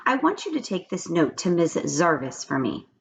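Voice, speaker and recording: Casting: woman reader as a stern, bossy lady teacher from a children's book, emphatic swings in pitch. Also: sing-song, moderate pace, creaky. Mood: brisk. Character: brisk, bossy female schoolteacher. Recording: dull lo-fi recording with background noise.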